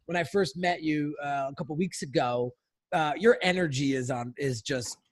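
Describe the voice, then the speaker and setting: A man's voice: fairly high pitch, normal speed, dry recording. A man hosting a casual podcast interview, enthusiastic and prone to repeating himself, with the odd swear. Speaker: podcast host.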